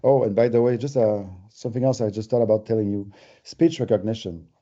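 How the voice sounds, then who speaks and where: A man's voice: on the low side, steady tone, fairly quick, dark tone, muffled recording. A man with a French Canadian accent, giving a conversational, explanatory webinar presentation with everyday examples. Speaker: company presenter.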